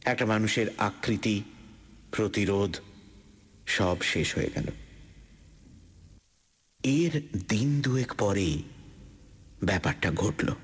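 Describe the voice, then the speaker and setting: A man narrating a suspense story for radio in the first person, deliberate and theatrical with dramatic pauses. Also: on the low side, animated, husky. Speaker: story narrator and lead character. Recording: suspense music behind the voice.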